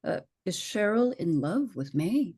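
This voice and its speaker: A woman giving casual, tentative feedback in a group discussion, speaking haltingly. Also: mid-range voice, very animated, mellow, slightly rough, dry recording, studio quality. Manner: tentative, hesitant.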